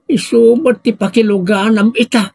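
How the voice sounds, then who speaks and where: A man acts out an exasperated village husband in a family audio comedy. His voice is high for a man and rough-edged, recorded very dry. He scolds colloquially. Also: steady tone, moderate pace, a little mellow, no echo, heavily acted. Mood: urgent, reproachful. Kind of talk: Ilocano radio-style comedy drama acting.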